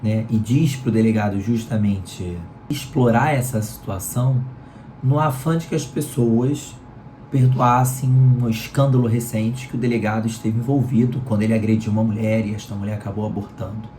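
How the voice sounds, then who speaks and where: Carioca male reviewer, hoarse with a cold, low and mellow, chatty tags. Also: steady tone, normal speed, slight background noise. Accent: Carioca Brazilian Portuguese. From Rio de Janeiro, Brazil. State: hoarse, sore throat from a cold. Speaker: book reviewer. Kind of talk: casual book review talk. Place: room at home in Rio. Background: electric fan running.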